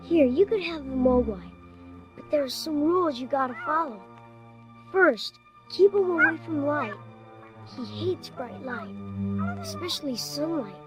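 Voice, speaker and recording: A woman's voice: sits high, animated, unhurried, long pauses. A woman playing an earnest young Chinese boy in a storybook audio drama, with insistent, casual, slangy delivery. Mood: urgent, serious. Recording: music under the dialogue.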